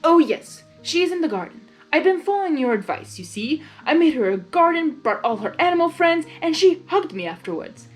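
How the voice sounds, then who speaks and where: A woman's voice: high voice, very animated, brisk, hardly any pauses, faint music behind, pitched above the performer's own voice. A woman voicing a cheerful, mischievous male draconequus who talks in chatty, theatrical exclamations for a story reading. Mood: gushing, excited.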